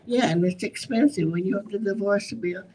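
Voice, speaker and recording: A woman speaking in an animated, emphatic way while moderating a forum. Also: mid-range voice, normal speed, background noise.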